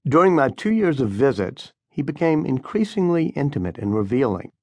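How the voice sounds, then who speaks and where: A man reads scripted audiobook narration in a measured, articulate way. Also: mid-range voice, no echo.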